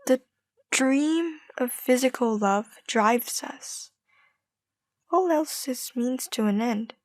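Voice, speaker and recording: An actress plays an outspoken young intellectual woman in a stage play. The voice is high, earnest and provocative, in a dry recording. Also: animated, slow pace, darkish tone, dry recording. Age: young adult.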